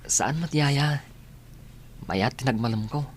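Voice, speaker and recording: An actor playing a polite, affectionate young grandson with an Ilocano accent in a radio drama. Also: male voice, medium pitch, takes it slowly, breathy edge.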